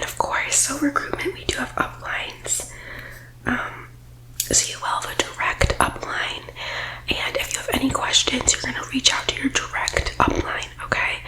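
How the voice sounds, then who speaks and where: A woman doing a soft-spoken, whispered ASMR comedy roleplay as a pushy, self-important MLM boss, with condescending, salesy patter. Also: high voice, normal speed, rough recording.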